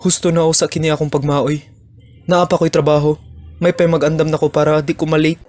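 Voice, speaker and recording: A young man playing a gentle heartbroken young man who shyly courts a new love, in a student radio drama. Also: a little high, level tone, fairly quick, no room sound, close to an everyday voice. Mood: weary. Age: young adult.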